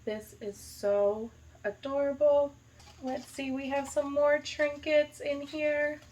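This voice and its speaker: A woman's voice: sits high, little pitch movement, slow pace, a little mellow. Gushing young woman unboxing gifts. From United States. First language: not Arabic. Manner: gushing, enthusiastic, lots of "like" and "so cute". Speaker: vlogger, high school teacher. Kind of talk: casual unboxing vlog.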